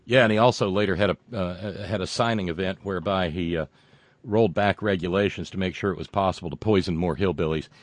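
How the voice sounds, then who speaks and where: A man hosting a show, reading viewer comments and delivering sarcastic political commentary that swings from loud rants to muttered asides, with frequent fillers and profanity. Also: deep voice, fast talker, dry recording. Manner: mocking, builds into loud rants then drops to muttering; profane, many fillers and restarts.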